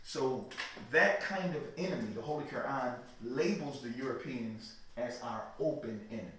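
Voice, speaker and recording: A man's voice: mid-range voice, normal speed, a bit bright. A man giving interview answers in a preachy, emphatic way, often checking "you know what I mean". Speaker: interview guest. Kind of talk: emphatic religious talk. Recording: echoey room, mic far off, light noise.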